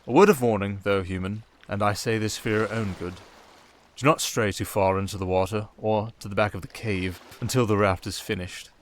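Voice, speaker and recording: Low-voiced sardonic British siren, played by a man. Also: moderate pace, lightly acted. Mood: softly menacing. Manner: dry, sarcastic, theatrical. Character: sardonic male siren, a softly dangerous sea creature. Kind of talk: ASMR roleplay acting.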